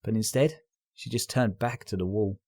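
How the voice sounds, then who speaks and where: Low-voiced man narrating, close and dry. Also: moderate pace, dark tone, dry recording. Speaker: story narrator. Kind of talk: dramatic scripted fan fiction narration.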